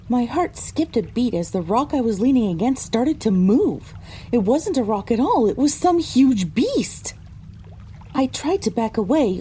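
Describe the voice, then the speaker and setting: A woman playing a pony who narrates her own adventure in a measured, descriptive storytelling style. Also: mid-range voice, mellow, slight background noise, natural voice. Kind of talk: scripted first-person story narration.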